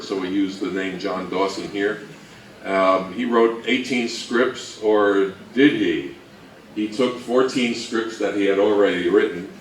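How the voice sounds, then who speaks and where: A man giving an informal, halting talk on history as a co-presenter, thinking aloud as he goes. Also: deep voice. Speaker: co-presenter at a fan convention talk. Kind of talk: informal lecture on old radio history. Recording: roomy, distant mic with faint noise.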